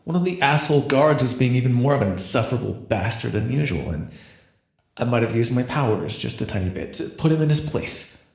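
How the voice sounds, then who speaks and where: A man performs a scheming, imprisoned supervillain for an ASMR roleplay. His voice is husky with a gravelly creak, and it swings up and down freely as he rambles theatrically to a single listener. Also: mid-range voice, expressive, brisk. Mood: pleading, aggrieved. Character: imprisoned minor supervillain with mind control, chatty, scheming, smug.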